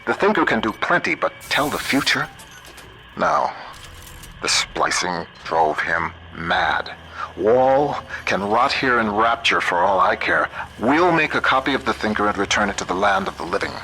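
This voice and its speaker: An actor playing a shrewd, principled American computer scientist in a video game, middle-aged, wry, measured and plain-spoken. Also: male voice, lowish voice, big pitch swings, creaky, bright, forceful tone, faint music behind, heavily acted, rough recording. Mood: exasperated. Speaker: guide character. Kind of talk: video game voice acting, audio diaries and radio guidance.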